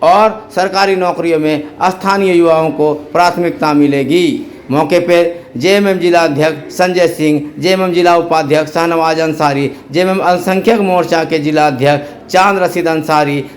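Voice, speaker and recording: Male news reporter, scripted narration, slightly high-pitched delivery. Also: steady tone, moderate pace.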